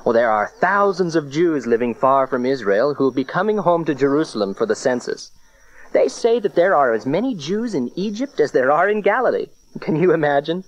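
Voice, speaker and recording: An actor playing a humble, steadfast young husband in an audio Bible drama, polite and gently stubborn. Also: male voice, fairly high pitch, very animated, fairly quick. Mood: gentle, wry. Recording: muffled cassette tape, busy crowd behind.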